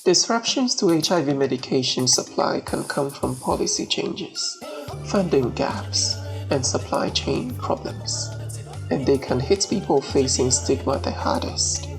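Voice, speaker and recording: A woman storyteller with a gravelly voice tells a folktale at a slow, deliberate pace. Her phrasing is emphatic and measured, with dramatic pauses, over a clear bed of music. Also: animated. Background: ambient marimba, xylophone and low nature sounds.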